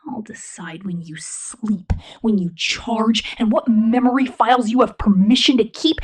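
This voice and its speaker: Woman voicing a rebel robot girl, young, possessive, husky whisper and soft speech, medium pitch, intimate ASMR roleplay, slow teasing menace. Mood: controlling. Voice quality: breathy, whispery.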